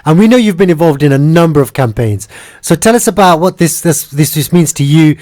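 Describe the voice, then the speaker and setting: A man hosting a live community radio show in a studio, talking in a lively, hurried, upbeat way. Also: fairly high pitch. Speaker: radio host.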